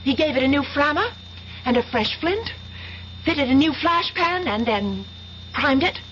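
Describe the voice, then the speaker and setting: An actress playing a vengeful, unhinged young woman in a 1970s radio drama, slow and taunting in her menace. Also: sits high, lively intonation, fairly bright tone. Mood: eerie, gloating. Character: vengeful, unhinged young woman, eerily calm then frantic. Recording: dull, noisy 1970s radio recording.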